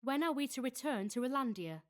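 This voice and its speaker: A woman's voice: high voice, lively intonation, brisk. A woman playing a protective, disapproving mother on a space expedition in an amateur audio drama. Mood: disapproving, worried.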